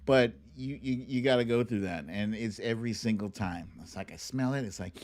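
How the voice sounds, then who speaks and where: A man's voice: medium pitch, brisk, a little mellow. A man with an American accent chatting informally and expressively as the host of a live online talk show. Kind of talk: casual livestream chat and commentary.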